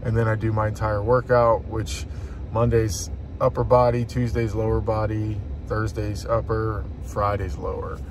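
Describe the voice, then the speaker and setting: A man's voice: fairly low pitch, little pitch movement, measured pace, noisy background. An American man in his forties chatting casually and informally to viewers as a vlogger. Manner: informal, colloquial. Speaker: outdoor vlogger. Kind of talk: casual vlog chat.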